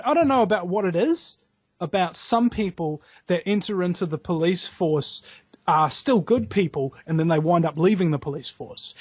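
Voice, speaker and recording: A heated, foul-mouthed man with a New Zealand accent, talking on the high side of the male range. His voice sounds dry and close. The lo-fi recording dulls it. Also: husky, dry recording. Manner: heated, profane storytelling. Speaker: guest. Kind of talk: casual podcast interview.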